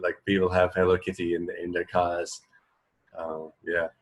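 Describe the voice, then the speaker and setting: A man with a low-pitched voice chatting casually as a radio co-host. He feels his way through sentences, repeating words and checking in with small questions. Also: monotone, moderate pace. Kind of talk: casual chat.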